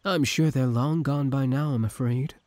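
A man's voice: mid-range voice, steady tone, normal speed, darkish tone, no echo, lightly acted. A young man playing an obsessive, scheming villain who feigns kindness, in an intimate, theatrical audio roleplay. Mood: smoothly manipulative. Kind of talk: ASMR audio roleplay, intimate dramatic acting.

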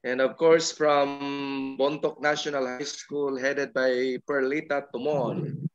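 A man's voice: medium pitch, level tone, no room sound, faint music behind. Filipino-accented man, formal greetings, dull audio. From Philippines. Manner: formal, deliberate listing of names and titles. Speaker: education supervisor giving opening greetings. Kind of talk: formal ceremonial acknowledgments.

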